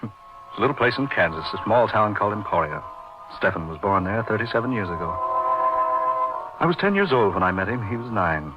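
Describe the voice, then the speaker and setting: A dull, lo-fi old radio horror recording with music behind it. A male actor plays an intense, brooding middle-aged surgeon with a lowish voice, theatrical and deliberate, repeating phrases for suspense. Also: animated, close to an everyday voice. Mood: grave, reminiscing. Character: intense, brooding surgeon recalling his uncanny late friend. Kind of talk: old-time radio horror drama acting.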